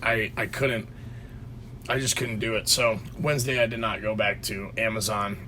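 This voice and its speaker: A man's voice: fairly low pitch, fairly even intonation, normal speed, sharp tone, slight background noise. A man co-hosting a podcast from his basement, telling a rambling story with lots of restarts and fillers. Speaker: podcast co-host. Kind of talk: casual chat. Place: home basement.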